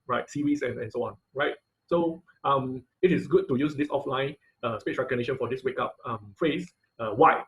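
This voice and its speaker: Male online instructor, medium pitch, informal technical lecturing, repeats phrases and checks in often. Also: poor audio.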